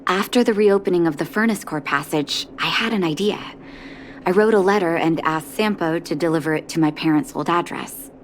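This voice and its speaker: A woman playing a gentle, caring doctor in a video game, soft-spoken and measured, with a reflective, wistful manner. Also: lowish voice, a little hiss or hum, natural voice. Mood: composed, hopeful. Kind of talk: video game dialogue voice acting.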